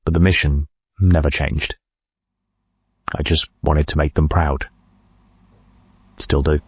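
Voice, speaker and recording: An actor playing a driven company CEO narrating his memoir in a reflective, measured way, with a gravelly, husky voice. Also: a bass voice, little pitch movement, no echo, lo-fi sound, heavily acted. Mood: earnest, resolute. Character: driven male medical company CEO recounting his life. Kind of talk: first-person memoir narration.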